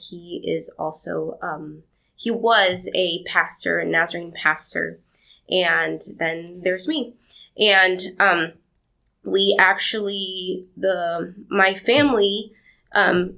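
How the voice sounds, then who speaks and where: A woman's voice: fairly low pitch, fairly even intonation, slow, vocal fry, poor audio. A young woman from Argentina, answering interview questions in a rambling, storytelling way. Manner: warm, rambling storytelling with laughs. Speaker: podcast guest.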